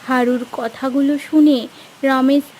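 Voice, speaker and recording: A woman's voice: high-pitched, fairly even intonation, a little slow, darkish tone, a little hiss or hum, rough recording. A woman giving scripted narration for a cartoon moral tale.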